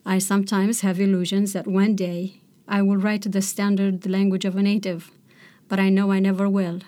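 Middle-aged woman, poet guest, measured and reflective. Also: medium pitch, warm tone. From Romania. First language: Romanian. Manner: thoughtful, measured, searching for words. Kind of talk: poetry reading and reflective interview answers.

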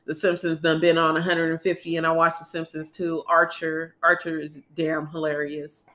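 A woman's voice: low voice, completely flat delivery, moderate pace, fairly bright tone. A young woman co-hosting a podcast in casual, chatty talk, congested from a cold and laughing often. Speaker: podcast co-host.

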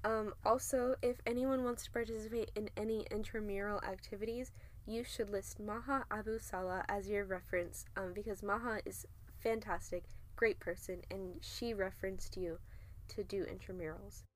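A woman's voice: medium pitch, steady tone, moderate pace. A young woman co-hosting and narrating a student podcast in a casual, playful style. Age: young adult. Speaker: co-host and narrator.

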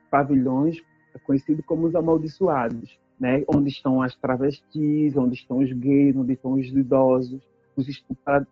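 Husky-voiced woman. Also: deep voice, no echo, highs cut off. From Brazil. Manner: earnest, emphatic storytelling, tag questions. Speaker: activist speaker. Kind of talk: personal testimony talk.

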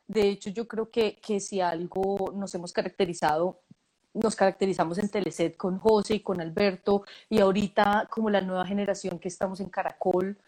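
A woman's voice: medium pitch, darkish tone, rough recording. Casting director lady in her 30s, chatty, keeps restarting her sentences. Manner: thoughtful, full of fillers and restarts. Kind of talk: conversational interview answers.

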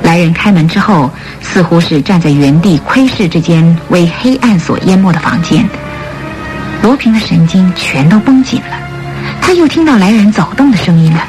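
A woman narrating a radio drama from a script in an expressive storytelling manner. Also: lowish voice, moderate pace. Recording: studio radio play with music bed.

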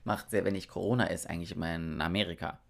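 A man's voice: low-pitched, steady tone, fast talker, vocal fry. A man co-hosting a casual podcast chat, playful and slangy, often laughing. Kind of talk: casual chat, quizmaster in guessing game.

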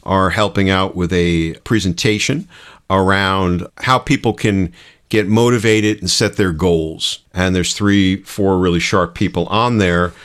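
A man's voice: low voice, fairly even intonation, a little slow, a bit bright, clear, resonant tone. A man co-hosting a podcast, explaining things in a casual, conversational way. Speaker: podcast co-host.

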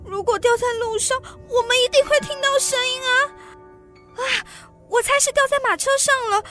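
An actress playing an anxious, flustered and plaintive young wife in a literary radio drama. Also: female voice, very high voice, moderate pace, a bit bright, faint music behind. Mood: agitated. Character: distraught young wife who lost a borrowed necklace.

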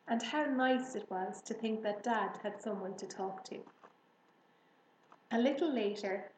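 A woman's voice: medium pitch, a little mellow. A woman reading a children's book aloud as storybook narration. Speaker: storybook reader. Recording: roomy echo, faint background noise.

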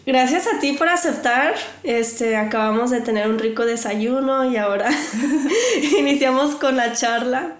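Bright-voiced woman host, echoey. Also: high voice, expressive, measured pace. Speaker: interview host. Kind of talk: hosting a live talk.

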